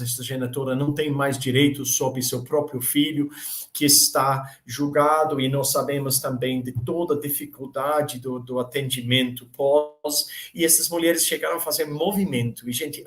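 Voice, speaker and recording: A man with a Brazilian Portuguese accent giving a live panel presentation with slides. He pauses often and repeats words, with many fillers. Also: medium pitch, fairly bright tone. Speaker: panel speaker. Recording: echoey room.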